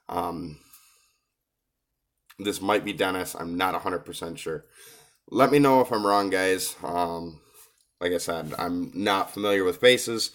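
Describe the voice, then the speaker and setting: Man, very low voice, coming down with a cold, hesitant, lots of ums, casual commentary. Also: a bit bright. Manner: hesitant, many filler pauses. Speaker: reaction video host. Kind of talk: casual commentary on a rap song.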